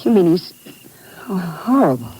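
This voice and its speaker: A woman's voice: on the low side, big pitch swings, moderate pace, dark tone, a little hiss or hum, low-quality recording. A woman with an American accent playing a polite, earnest secretary in a vintage radio drama. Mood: shocked. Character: proper, earnest female office secretary. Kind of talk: 1950s radio drama acting.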